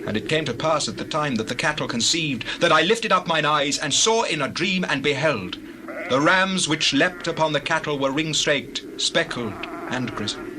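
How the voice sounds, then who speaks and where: An actor playing an earnest, righteous shepherd in a dramatized Bible reading, with declamatory delivery. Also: male voice, fairly high pitch, expressive, normal speed, natural voice. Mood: aggrieved, testifying. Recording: noisy scene with sheep and bird effects.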